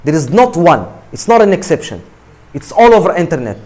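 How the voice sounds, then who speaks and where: A man's voice: on the high side, sing-song, a little fast, a little mellow. A man from Morocco, speaking English as a guest speaker in an emphatic motivational talk to students, often checking in with "right?" and repeating himself.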